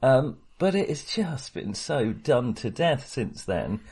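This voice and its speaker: A man's voice: mid-range voice, sing-song, slow pace, darkish tone, breathy edge. A man co-hosting a casual film discussion, talking in a hesitant, wry and opinionated way. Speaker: podcast co-host.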